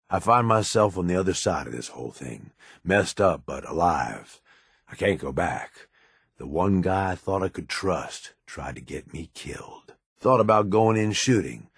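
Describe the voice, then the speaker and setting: An actor playing a jaded, foul-mouthed middle-aged raider sidekick in a video game, with a gravelly American voice and a gruff, blunt way of talking. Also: male voice, a bit bright, no room sound, studio quality, exaggerated voice. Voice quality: husky, gravelly low voice. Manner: gruff, blunt, folksy tough talk. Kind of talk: video game companion dialogue.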